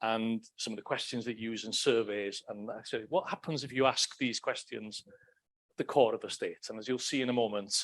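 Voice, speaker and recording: A man's voice: on the low side, steady tone, brisk, a bit bright. A middle-aged man with a Welsh accent giving a conversational academic lecture, with frequent ums and restarts. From Wales. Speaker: academic speaker. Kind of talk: lecture talk.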